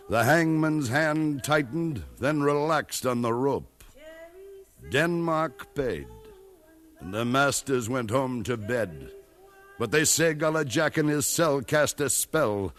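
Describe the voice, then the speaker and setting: An actor playing a grave narrator who recounts a legend in solemn, measured 1950s radio drama style. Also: male voice, a little high, fairly bright tone. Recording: 1950s radio recording, faint music behind.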